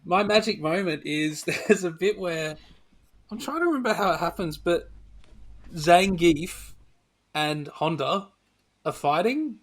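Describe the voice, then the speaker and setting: A man's voice: high voice, lively intonation, unhurried, pauses often, a bit bright. A man hosting a casual film discussion. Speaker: host of a film review show.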